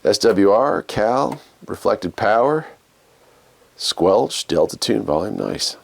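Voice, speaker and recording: A man's voice: on the low side, sing-song, slow pace, gravelly. An American man hosting a casual unboxing and demonstration, talking in a folksy, conversational way as he thinks aloud. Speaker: video host.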